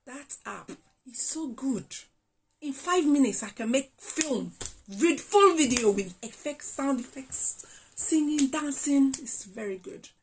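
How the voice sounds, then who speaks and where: A woman talks to her viewers during a casual live stream, recorded on a phone set on a tripod. Her voice sits fairly high for a woman. She rambles with plenty of ums and bursts into squeals of delight. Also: slow, slight background noise, poor audio. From England. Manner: chatty and excitable, shrieking with delight at comments, many ums. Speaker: small business owner hosting a live stream. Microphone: phone on a tripod rig.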